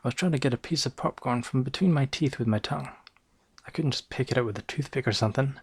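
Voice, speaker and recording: A man with a Northern English accent playing a boastful, bumbling noir private eye, narrating deadpan with hesitant asides in an ASMR roleplay. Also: mid-range voice, quick pace, husky, no echo. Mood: deadpan, rambling.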